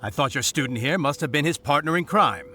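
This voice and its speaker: A man's voice: a little high, animated, fairly quick, pushed and bright, a little hiss or hum. A man voicing an officious, by-the-book knight in a video game, formal and declamatory. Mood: explaining.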